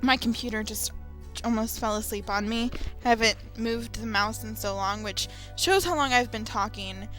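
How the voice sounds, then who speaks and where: A woman in her twenties speaking casually and unscripted to viewers, in an earnest, emphatic, halting way. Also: on the high side, fairly bright tone. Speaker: gamer, video maker. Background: background music.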